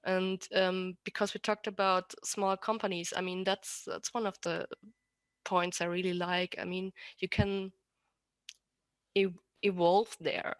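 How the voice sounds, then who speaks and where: A woman's voice: fairly low pitch, little pitch movement, unhurried, no room sound. A woman with an Austrian accent chatting casually as an interview guest, often restarting and correcting herself as she explains. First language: German. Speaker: podcast guest, rigger.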